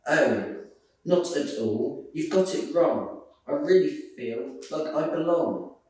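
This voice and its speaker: A man's voice: fairly high pitch, animated, slow pace, darkish tone. Man reading as a confident storybook dog, echoey. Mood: proud, encouraging. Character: confident, kindly female dog who loves standing out. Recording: roomy echo, distant microphone.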